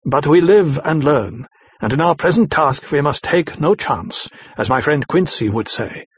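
A man's voice: fairly high pitch, expressive, breathy edge, voice pushed up. A man voicing a thoughtful, cautious older male doctor who speaks formally. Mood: measured. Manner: measured, formal. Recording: dry muffled lo-fi.

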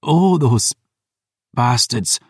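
A man voicing a gravely wounded, defiant woman warrior with a Scottish accent, halting and short of breath in an audiobook. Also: medium pitch, animated, no room sound, studio quality, exaggerated voice. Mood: pained, bitter. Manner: halting, broken by pain. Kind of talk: audiobook character voice.

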